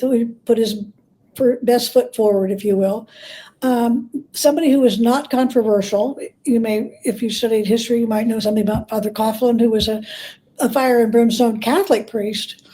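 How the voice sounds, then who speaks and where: A woman with a fairly high, very mellow voice explains history as an interview guest. Her delivery is conversational and halting, with pauses as she searches for the right word. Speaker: guest expert, biographer and scholar.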